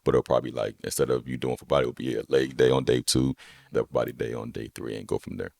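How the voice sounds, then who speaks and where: A young man with a deep voice, a personal trainer chatting casually as a podcast guest, relaxed and rambling with lots of "you know what I'm saying.". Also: fast, gravelly, dry recording, clean studio sound. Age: young adult. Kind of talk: casual conversation.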